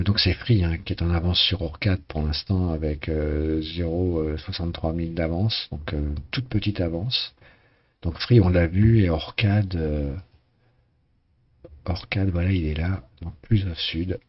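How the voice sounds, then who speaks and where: A man with a very deep, hoarse voice gives a loose, conversational commentary on a sailing race game. He hesitates often, repeating words and filling gaps with uh as he goes. The recording sounds somewhat dull and lo-fi. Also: a little slow. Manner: hesitant, many fillers and restarts. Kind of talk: casual live game commentary.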